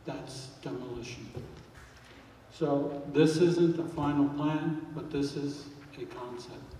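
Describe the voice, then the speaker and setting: A man presenting floor plans at a town hall meeting in a large auditorium, explaining in a level, even tone. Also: a little high, slow, slight background noise. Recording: echoey hall, heard from far off.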